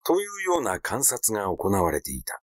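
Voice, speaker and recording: A man's voice: lowish voice, wildly swooping pitch, moderate pace, no echo. Man narrating a mystery, dry close sound. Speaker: audiobook narrator. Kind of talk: literary narration of a mystery story.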